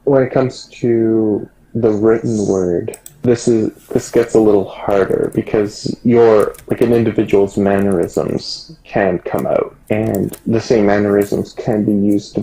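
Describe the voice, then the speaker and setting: A man's voice: on the low side, steady tone, unhurried, few pauses, warm tone, poor audio. A man chatting casually as a podcast co-host, phrasing his thoughts hesitantly. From Canada. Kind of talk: casual chat.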